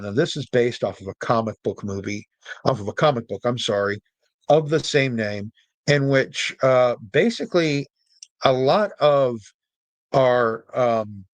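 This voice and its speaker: A man's voice: mid-range voice, no room sound. A man hosting a storytelling show in a casual, chatty way, with jokey, rambling asides. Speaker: host and storyteller. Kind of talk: casual explainer monologue.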